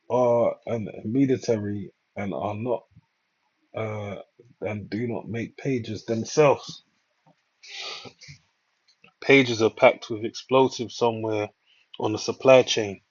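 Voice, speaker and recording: A man's voice: fairly low pitch, breathy edge. A man giving informal news commentary, reading items aloud and reacting in a rambling way with preacher-like asides. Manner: rambling, preacher-like asides, repeatedly checks with "all right". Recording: dull lo-fi microphone.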